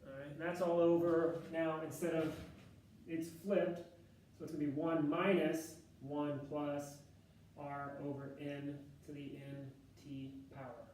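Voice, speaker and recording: A man teaching a math class with a loud voice, talking casually and thinking aloud with fillers and self-corrections. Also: fairly high pitch, little pitch movement, measured pace, slight background noise. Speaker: math instructor. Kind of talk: classroom lecture. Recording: echoey classroom, distant mic.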